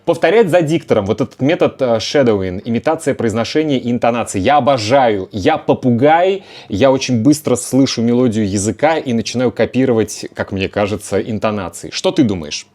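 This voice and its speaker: Relaxed man hosting a chatty podcast. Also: mid-range voice. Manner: relaxed, thinking aloud with fillers and drawn-out words. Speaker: podcast host and Russian teacher. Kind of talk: casual conversational podcast.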